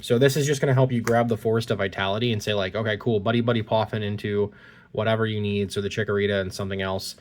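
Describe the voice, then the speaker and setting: A man's voice: on the low side, steady tone, fast talker. A man talking casually while opening trading cards, slightly congested from a cold, reading card text aloud, recorded on a phone. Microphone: phone recording at point-of-view angle.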